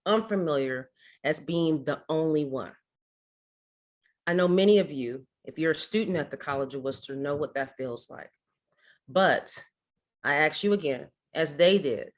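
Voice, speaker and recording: A woman in her forties, from Ohio, giving a keynote speech at a ceremony in a measured, rhetorical way, building toward challenge questions to the audience. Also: low-pitched. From Ohio, USA. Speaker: keynote speaker.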